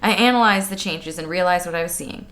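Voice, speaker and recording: A woman's voice: lowish voice, fast talker, fairly bright tone. A woman co-hosting a comedic book read-along, moving between reading passages and playful, sarcastic riffs with acted-out quotes. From United States, lived in Washington State. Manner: playful, sarcastic, acts out quotes in mock voices. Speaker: podcast co-host.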